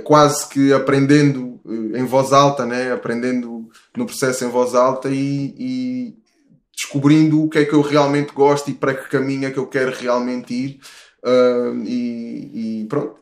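Relaxed Portuguese man in a roomy space. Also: mid-range voice. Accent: European Portuguese. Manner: relaxed, rambling, many restarts and fillers. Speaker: musician guest. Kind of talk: casual interview chat.

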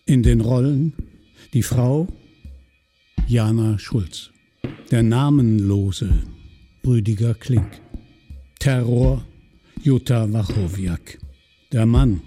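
A man narrating and announcing a radio drama in a solemn, measured way with emphatic pauses. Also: mid-range voice, dark tone, breathy edge. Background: faint music.

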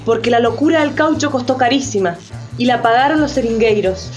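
An actress playing an earnest commentator explaining an injustice emphatically in scripted radio dialogue. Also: female voice, a little high, lively intonation, a little fast, darkish tone, soft background music, close to an everyday voice.

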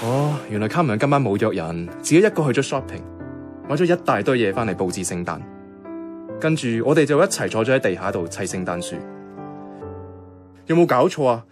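An actor playing a self-effacing young bank worker in a radio drama, speaking casual, colloquial Hong Kong Cantonese. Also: male voice, medium pitch, very animated, fairly quick, long pauses, close to an everyday voice. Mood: nervous, reflective. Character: soft-hearted, self-effacing young male bank worker, secretly devoted. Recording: music playing behind the voice.

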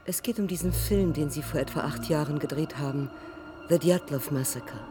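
An actor in a radio drama playing a laconic, unruffled Russian police captain, terse and deadpan. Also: male voice, on the high side, normal speed, close to an everyday voice. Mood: calm probing. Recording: music behind the voice.

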